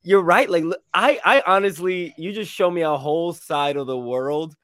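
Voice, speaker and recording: A man from New York hosting a podcast, talking casually and excitedly, often repeating and restarting words. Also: fairly high pitch, moderate pace, no echo, studio quality. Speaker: podcast host.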